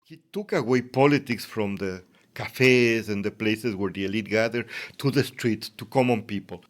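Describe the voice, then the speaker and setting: Male professor, low voice, Ecuadorian Spanish accent, answering interview questions reflectively. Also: moderate pace. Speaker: academic guest, professor.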